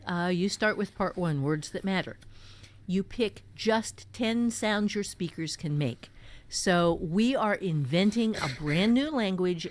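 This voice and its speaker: A woman teaching writing in a conversational way, explaining step by step with emphasis as a co-host. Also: mid-range voice.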